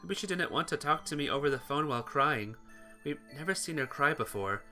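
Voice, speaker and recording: A man's voice: on the high side, animated, a little fast, pushed and bright, faint music behind. A man reading visual novel text aloud as dramatic, earnest, emotive story narration for a playthrough.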